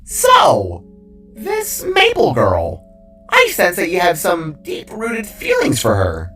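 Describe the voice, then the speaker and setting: A man voicing a chatty, playful talking cube companion in an animated fantasy audio story, with an excitable, teasing manner. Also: high-pitched, slow, far from the mic, faint music behind, pitched above the performer's own voice, full character voice. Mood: nosy.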